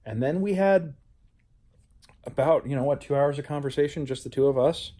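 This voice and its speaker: A man's voice: fairly high pitch, moderate pace, mellow. A man talking reflectively in a personal vlog as a playwright, earnest and introspective. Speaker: playwright, show host.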